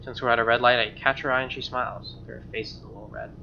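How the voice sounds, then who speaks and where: A man's voice: medium pitch, fairly even intonation, fast, creaky, a little hiss or hum. A man reading a game's narration aloud in a casual playthrough style, with informal, joking asides. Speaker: streamer playing and reading a game. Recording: slightly dull mic, music behind. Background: acoustic guitar game music.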